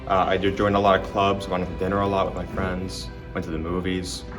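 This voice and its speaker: A man's voice: sits low, little pitch movement, a little fast. An eighteen-year-old man speaking in a documentary interview, reflective and hesitant, with fillers and restarts. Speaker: student filmmaker and interviewee. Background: background music.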